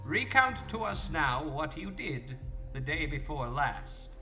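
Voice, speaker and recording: An actor playing a stern, accusing magistrate in an audio drama, emphatic and imperious. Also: male voice, fairly high pitch, sing-song, takes it slowly, bright, forceful tone, faint music behind, full character voice.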